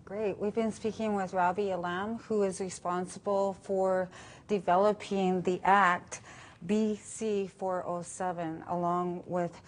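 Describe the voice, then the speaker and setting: A woman's voice: mid-range voice, level tone, a little slow. A woman interviewing a guest on a community TV program, offering short encouraging responses. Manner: brief encouraging backchannels.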